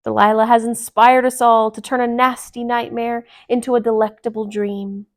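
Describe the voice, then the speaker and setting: A woman's voice: on the high side, level tone, moderate pace, close to an everyday voice. A woman voicing a genial male storybook animal who speaks in a pompous, flowery, speechmaking manner. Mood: grand, pleased. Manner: grandiose, oratorical, alliterative.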